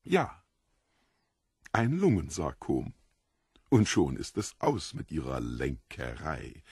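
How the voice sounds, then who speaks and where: Deep, husky, mockingly polite devilish stranger. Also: male voice, darkish tone, dry recording, clean studio sound, exaggerated voice. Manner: theatrical, courteous, relishing morbid details. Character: mysterious foreign stranger, the Devil in disguise, mockingly polite, gleeful. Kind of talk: dramatized audiobook dialogue.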